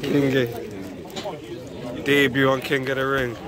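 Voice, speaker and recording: A young man with a Manchester accent, vlogging and shouting encouragement beside an outdoor boxing ring. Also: medium pitch, a little slow. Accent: Mancunian. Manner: excited shouting and hyped encouragement. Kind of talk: ringside vlog chat and corner coaching. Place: outdoor garden boxing ring with big crowd. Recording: loud crowd, music, outdoors. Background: loud cheering crowd and music.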